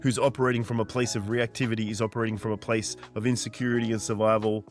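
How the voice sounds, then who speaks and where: A man's voice: on the low side, little pitch movement, quick pace, faint music behind. A man in his forties talking earnestly and directly to the listener as a mindset coach, pressing points through repetition. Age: 40s. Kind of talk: motivational monologue.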